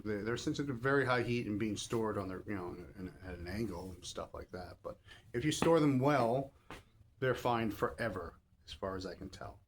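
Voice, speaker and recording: A man giving a casual, off-the-cuff review as a shop host, rambling and pausing mid-thought to rephrase. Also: lowish voice. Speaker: record shop seller and host. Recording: clear background noise and ambience.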